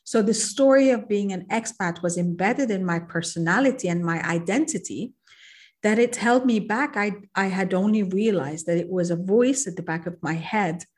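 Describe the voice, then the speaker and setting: A woman's voice: medium pitch, normal speed, darkish tone. Reflective woman hosting a podcast. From Egypt, raised in Kuwait. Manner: reflective, personal, with restarts. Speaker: podcast host. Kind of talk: conversational interview.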